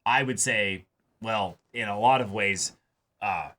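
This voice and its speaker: Emphatic man with a bright, forceful voice. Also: on the low side. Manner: emphatic, halting, repeats words while building a point. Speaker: reaction show co-host. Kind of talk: casual anime discussion.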